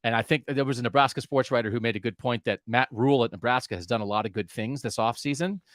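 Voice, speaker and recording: A man hosting a sports podcast, talking casually in an animated way and asking his co-host questions. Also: mid-range voice, fast talker, no echo, clean studio sound.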